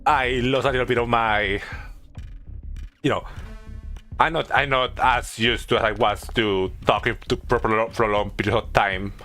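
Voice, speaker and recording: Bright-voiced man doing halting game commentary. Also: medium pitch, steady tone, soft background music. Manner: halting, repeats himself, thinks aloud. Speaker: video game streamer. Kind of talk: casual gameplay commentary.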